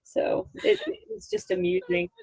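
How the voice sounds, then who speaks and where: A middle-aged woman in a casual video-call discussion, talking haltingly as she thinks out loud. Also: very high-pitched, level tone, gravelly, no room sound, low-quality recording. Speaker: voice actor in a serialized audio drama.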